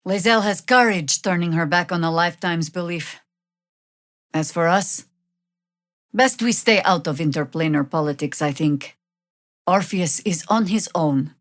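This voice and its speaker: An actress playing a seasoned older female druid warrior in a fantasy video game, measured, wry and commanding. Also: lowish voice, no room sound, studio quality, lightly acted. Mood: dry, wry.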